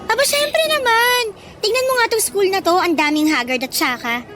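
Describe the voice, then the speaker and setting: A woman's voice: very high voice, a bit bright, soft background music. A woman playing a pushy, vain, slangy female college student in a radio drama. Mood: sassy, teasing. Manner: sassy, slangy, cajoling, drawn-out words.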